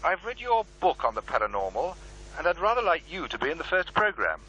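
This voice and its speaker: An actor playing a brisk, businesslike radio program maker making a persuasive pitch in a radio drama. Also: male voice, very animated, sharp tone, slightly rough, heavily acted, low-quality recording.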